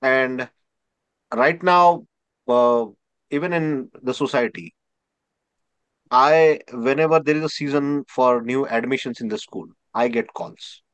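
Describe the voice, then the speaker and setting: A man with an Indian accent, a native Marathi speaker, answers a host's questions. He talks haltingly, with fillers and restarts, at a middling pitch. The sound is dry and close. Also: a bit bright, clear, resonant tone, dry recording. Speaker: guest scholar. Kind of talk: interview answer.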